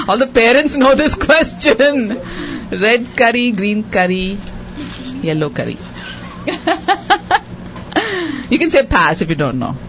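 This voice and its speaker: A woman hosting a quiz show for children, repeating each question slowly and cheering the contestants on. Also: fairly high pitch, very animated, a bit bright, lo-fi sound, slight background noise. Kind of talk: hosting a radio quiz for kids.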